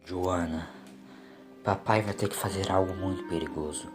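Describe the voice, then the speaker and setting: A man's voice: deep voice, fairly even intonation, moderate pace, music in the background, lightly acted. A Brazilian man voicing a brave, tender father, a former ship mechanic, in an audio story. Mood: tender, reassuring.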